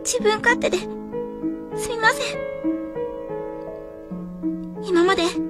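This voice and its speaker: An actress playing a shy, apologetic high school girl in an earnest, tender radio drama monologue. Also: slow pace, music in the background. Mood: tearful apology.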